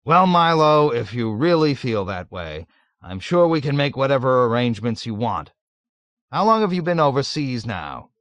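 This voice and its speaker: A man voicing a jovial, nervous middle-aged colonel who gushes loudly and is eager to please. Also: mid-range voice, very animated, normal speed, dry recording, pitched above the performer's own voice. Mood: gushing. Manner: loud, gushing, eager to please.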